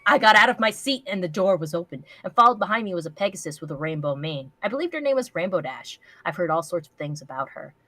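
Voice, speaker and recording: A woman's voice: lowish voice, expressive, quick pace, few pauses, a bit bright, dry recording. A woman voicing a brooding, self-pitying jealous male pony in a melodramatic, wounded reading of fan fiction.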